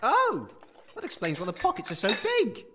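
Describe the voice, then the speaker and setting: An actor playing a giddy, cheerful post-apocalyptic radio host in a comic audio drama, chirpy, chuckling and excitable. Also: mid-range voice, moderate pace, a little hiss or hum. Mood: curious, teasing.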